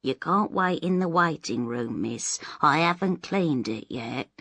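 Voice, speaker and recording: A woman voicing an old cleaning woman who speaks in a flat, practical way. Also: low-pitched, measured pace, no echo, heavily acted. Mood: blunt. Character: old cleaning woman, blunt and matter-of-fact.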